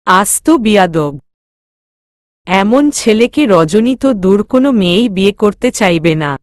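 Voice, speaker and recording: A woman's voice: medium pitch, animated, measured pace, no echo, clean studio sound, natural voice. A woman voicing a stern, irritated father with a firm, commanding manner in an audio story.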